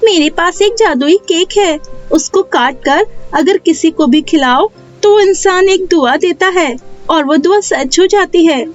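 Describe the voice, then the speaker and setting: An earnest poor little girl from a moral storybook acted by a woman, with a very high, childlike voice. Music plays softly behind and the sound is a bit dull. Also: a little slow. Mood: earnest explaining.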